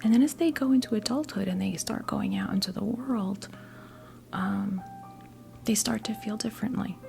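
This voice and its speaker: An American woman in her fifties giving a tarot reading as ASMR role play, whispering softly in a halting, gently reassuring way. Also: medium pitch, faint music behind. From New Jersey, US. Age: 50s. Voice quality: whispered, breathy soft voice. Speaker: ASMR performer playing a tarot reader.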